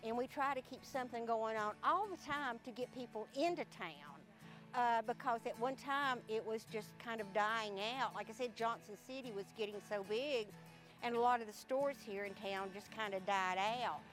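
A chatty woman with a Southern accent tells a story and slips into the voices of the people she quotes. Her voice sits a little high. Faint music plays behind her. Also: moderate pace, a bit bright, poor audio. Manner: chatty, laughs often, acts out quoted dialogue. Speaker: local interviewee. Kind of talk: casual storytelling chat.

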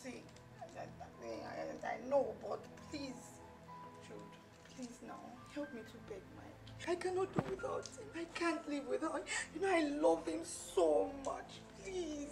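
Heartbroken young nigerian woman, high creaky voice, desperate film melodrama, repeats herself, music behind. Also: sing-song, measured pace, exaggerated voice, rough recording. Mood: pleading. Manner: dramatic, pleading, repeats words. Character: scorned, desperate, tearful young woman pleading for her ex-lover.

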